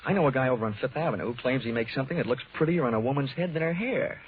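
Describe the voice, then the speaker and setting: An actor playing a wisecracking, tough American insurance investigator in a 1940s radio detective drama, speaking brisk and wry. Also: male voice, medium pitch, close to an everyday voice. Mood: wry, soothing. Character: wisecracking hard-boiled insurance investigator man. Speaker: lead actor and narrator. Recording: tinny 1940s radio recording, music.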